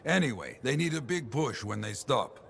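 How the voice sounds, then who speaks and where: Jovial, gravelly foreign contract fixer. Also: male voice, mid-range voice, normal speed, slight background noise. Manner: jovial, expressive, broken English. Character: boisterous foreign fixer handing out mercenary contracts. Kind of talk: video game character acting.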